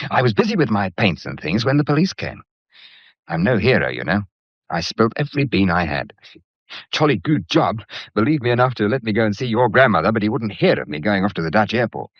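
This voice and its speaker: Breezy English painter, wry, clipped, expressive pitch, muffled vintage radio play. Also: male voice, no echo. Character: wry, self-deprecating painter and amateur sleuth, teasing suitor. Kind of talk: mid-century radio drama acting, conversational.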